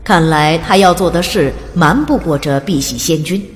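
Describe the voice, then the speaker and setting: A woman narrating a fantasy audiobook in an even, storytelling delivery. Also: sits low, moderate pace. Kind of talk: scripted narration. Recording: long reverb.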